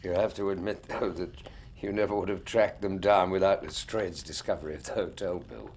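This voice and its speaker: An actor playing a polite, inquisitive English gentleman, a detective's loyal companion, in a period radio drama. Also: low-pitched, a little fast, slight background noise. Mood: skeptical.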